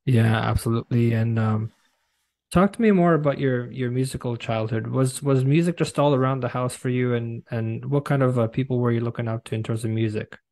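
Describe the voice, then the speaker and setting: A man's voice: medium pitch, fairly quick, darkish tone. A young man hosting a podcast, interviewing a guest over a video call in an eager way, with stumbling restarts and fillers. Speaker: podcast host. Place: home room.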